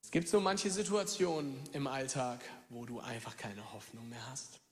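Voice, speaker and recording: A pastor in his thirties from Germany preaching a lively sermon, moving from loud calls to hushed near-whispers and speaking straight to his listeners. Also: mid-range voice, normal speed, in a large hall. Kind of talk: lively sermon with personal stories.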